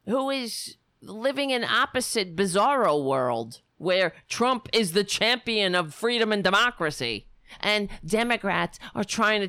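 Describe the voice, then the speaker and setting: A woman hosting a political livestream with a worn, raspy voice, talking bluntly and sarcastically while reading the chat. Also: medium pitch, pushed and bright, dry recording, clean studio sound. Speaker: livestream show host.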